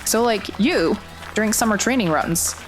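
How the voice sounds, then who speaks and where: An actress plays a determined, anxious young runner telling her own horror story. Her earnest, mid-pitched voice carries suspense, with soft music behind it. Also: expressive, moderate pace, natural voice, low-quality recording. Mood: caring. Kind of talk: horror audio drama acting and narration.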